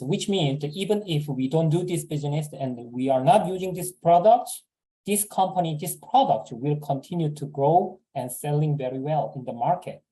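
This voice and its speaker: A man giving a sales seminar talk, halting and repeating words with many fillers. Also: a little high, mellow, poor audio. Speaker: business presenter.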